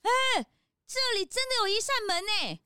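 A woman voicing an eager young detective sidekick in a children's audio drama, excited and full of questions. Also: very high-pitched, normal speed, exaggerated voice.

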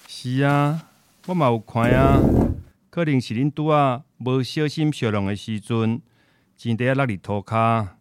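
A man's voice: mid-range voice, unhurried, smooth, no echo, lightly acted. A man with a Taiwanese accent acting a kindly, hospitable old shopkeeper grandfather in a radio drama. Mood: firm.

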